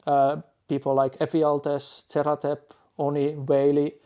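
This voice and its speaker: A man in his forties from Finland, a DJ guest who speaks in a halting, thoughtful way with frequent restarts. Finnish and Dutch are his first languages. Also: a little high, completely flat delivery, dark tone, highs cut off. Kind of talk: podcast interview.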